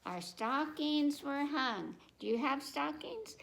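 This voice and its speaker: A woman's voice: high-pitched, animated, slow pace, creaky, fairly bright tone, a little hiss or hum. A woman presenting a children's storytime, reading picture books aloud and breaking off to speak directly to young listeners with simple questions and repetition.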